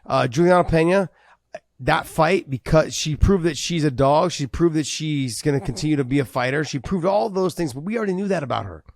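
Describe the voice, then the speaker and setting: A man co-hosting a casual MMA podcast, talking in an animated way and repeating words as he builds his points. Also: fairly high pitch, dry recording. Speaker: podcast co-host.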